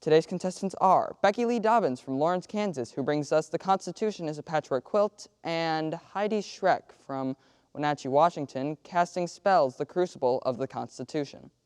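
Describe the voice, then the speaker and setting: An actor playing an official contest announcer, speaking in a formal, deliberate, projected way for the stage. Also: medium pitch, darkish tone, smooth, dry recording, studio quality, natural voice. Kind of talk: theatrical monologue as announcement.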